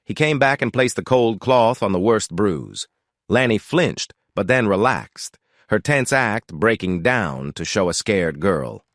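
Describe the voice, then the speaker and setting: Expressive male story narrator, dry sound. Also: fairly low pitch, measured pace, no room sound.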